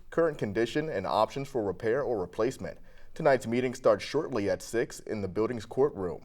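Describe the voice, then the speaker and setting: A man's voice: mid-range voice, darkish tone. An American man reading scripted local news as an anchor.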